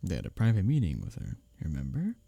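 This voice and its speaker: Man acting a low, husky, smitten office rival. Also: no room sound, exaggerated voice. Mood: sincere, hesitant. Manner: expressive, halting confessions with soft chuckles and sighs. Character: male office rival, teasing, earnest, secretly smitten. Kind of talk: ASMR roleplay audio drama, spoken intimately to the listener.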